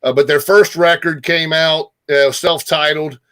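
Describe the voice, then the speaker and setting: Bright-voiced man chatting about music. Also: on the high side, steady tone, unhurried, clear, resonant tone. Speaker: music show host. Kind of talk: casual rock music chat.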